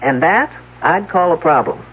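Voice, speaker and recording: A woman's voice: low voice, expressive, normal speed. An actress playing a sardonic, unflappable female detective in a 1970s radio drama, wry and plain-spoken. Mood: brisk, probing. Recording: tinny, noisy old radio broadcast.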